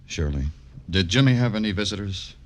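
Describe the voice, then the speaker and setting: A man's voice: fairly low pitch, expressive, fairly quick, close to an everyday voice. An actor playing a weary, sympathetic police detective in a vintage radio drama, solemn and poetic as he talks. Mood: probing, grave. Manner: poetic, solemn, hard-boiled. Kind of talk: 1950s radio drama acting, noir narration. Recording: vintage radio recording with music behind.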